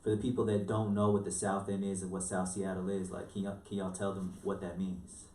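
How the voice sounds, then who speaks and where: A man with a low voice chats earnestly about music he champions on the radio. He is heard from a distance in an echoey room. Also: level tone, fairly quick, a little mellow. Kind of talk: casual chat. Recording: roomy sound from far off.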